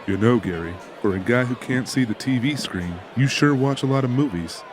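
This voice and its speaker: An actor voicing a laid-back, wry and casual barroom sidekick in a dramatised audiobook. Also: male voice, mid-range voice, moderate pace, background noise, natural voice. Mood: wry teasing. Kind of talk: dramatised audiobook character acting. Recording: music behind the voice.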